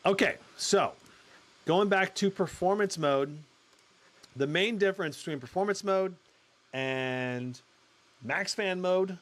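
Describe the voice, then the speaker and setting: A man with a slightly high, loud voice runs a laptop review livestream. He talks casually and chattily, reading out figures with lots of "uh" and "all right?" tossed in. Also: slow pace, long pauses. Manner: chatty, many fillers, talks through numbers on screen. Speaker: tech reviewer hosting a livestream. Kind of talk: casual live benchmark commentary.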